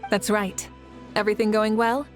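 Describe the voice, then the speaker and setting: A woman's voice: medium pitch, flat delivery, normal speed, soft background music, natural voice. Game heroine and ruler, voiced by a woman: confident adult woman, composed, caring, middle register. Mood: assured. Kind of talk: English video game voice acting.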